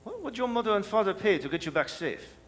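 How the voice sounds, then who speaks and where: A man's voice: high voice, very animated, fast. A man playing a suave, scheming French villain with a French accent, courtly, wordy and sardonic, in a radio drama. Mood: smug. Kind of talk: staged radio drama performance. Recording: live hall, long reverb, noisy.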